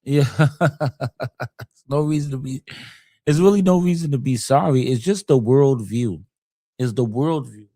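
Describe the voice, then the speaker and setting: A man's voice: mid-range voice, a little slow, dark tone, no echo, studio quality. A man giving casual, emphatic commentary, repeating his points in slangy street talk. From United States.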